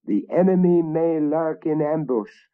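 A man's voice: a little high, expressive, a little slow, voice pushed up. A man reading a storybook and voicing an ambitious Danish invader king who speaks in a grandiose, commanding way, in a dull lo-fi recording.

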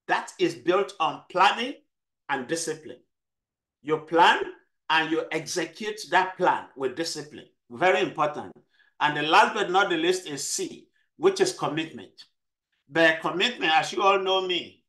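A man with a South Texas accent speaks in an emphatic, motivational way, hammering home key phrases by repeating them. His voice sits a little high for a man. It is picked up through a video call. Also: bright, forceful tone. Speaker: club member giving a speech. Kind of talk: motivational club speech.

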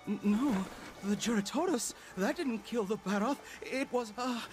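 An actress playing a frightened, timid scholar in a video game, stammering in panic. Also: female voice, on the high side, music in the background, close to an everyday voice. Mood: terrified. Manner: stammering, halting. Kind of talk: scripted video game cutscene dialogue.

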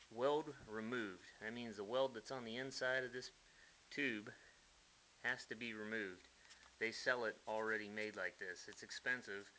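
A man's voice: on the low side, bright, forceful tone, slight background noise, poor audio. Blunt folksy man in his workshop. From United States. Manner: blunt, folksy, mildly profane. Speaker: hobbyist builder. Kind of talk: casual workshop walkthrough. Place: dim garage workshop.

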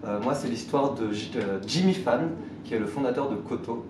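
A man in his thirties, a mentor to social entrepreneurs, speaking in an interview with fillers and restarts, often trailing off softly. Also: medium pitch, moderate pace. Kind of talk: conversational interview. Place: small bright indoor room. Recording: echoey room, distant mic, noise.

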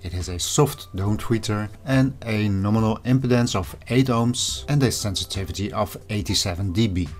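Low-voiced man narrating a product review. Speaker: host of an audio review channel.

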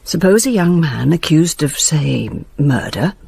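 An actress playing a bitter, grieving grandmother, delivering a deliberate confessional letter as a radio drama monologue. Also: low-pitched, lively intonation, gravelly, dark tone, husky, dry recording, full character voice. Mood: cold resolve.

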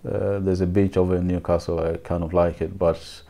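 A man in his thirties with a Ugandan accent, speaking softly and reflectively in an interview from his living room. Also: deep voice, flat delivery, normal speed. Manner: soft-spoken, reflective, with fillers. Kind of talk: personal interview answers.

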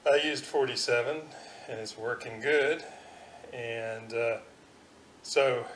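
Man, electronics hobbyist, informal technical demo, halting delivery, medium pitch, roomy camera-mic sound. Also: a bit bright. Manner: halting, thinking aloud. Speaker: electronics hobbyist demonstrating a radio repair. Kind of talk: informal hands-on technical demonstration. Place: room in a house. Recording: roomy echo, faint background noise.

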